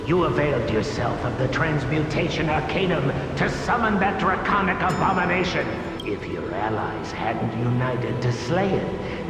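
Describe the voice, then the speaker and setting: An actor voicing a proud, accusing old elder's ghostly echo in a video game, speaking in grave, archaic declamation through heavy reverb. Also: male voice, high-pitched. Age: older. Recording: long echoing reverb, loud music behind.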